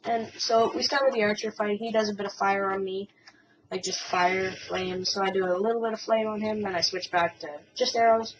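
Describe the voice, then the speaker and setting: A woman giving casual, hesitant commentary on a replay of a strategy game battle, a bit hoarse from a cold. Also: medium pitch, steady tone, fairly quick. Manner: hesitant, many ums and restarts. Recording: distant, muffled mic with faint hiss.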